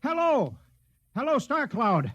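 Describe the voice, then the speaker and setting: An actor playing an anxious, dutiful sergeant working a radio in a 1950s drama, on a muffled old recording. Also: male voice, extremely high pitch, wildly swooping pitch, takes it slowly, a bit bright, a little hiss or hum, heavily acted. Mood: alarmed.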